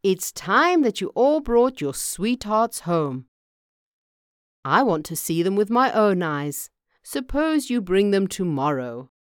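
A woman's voice: mid-range voice, sing-song, slow pace, smooth, dry recording, clean studio sound, pitched above the performer's own voice. A woman voicing an old male farmer, a storybook father who makes emphatic pronouncements. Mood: decisive. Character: gentle old male farmer, judging and easily amazed father. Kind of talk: storybook character voice.

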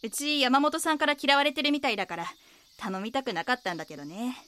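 An actress playing a frank, slightly defensive diner owner in an audio drama, speaking casually. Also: female voice, high-pitched, quick pace, bright, forceful tone. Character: plain-spoken woman running a local diner, defensive, frank.

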